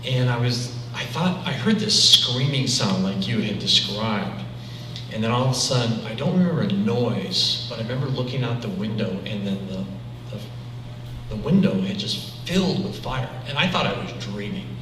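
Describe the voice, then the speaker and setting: A man in his sixties recalling a childhood memory as an eyewitness panelist, talking in halting phrases with restarts. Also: mid-range voice, expressive, noisy background. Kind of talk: personal recollection. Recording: distant echoey hall recording.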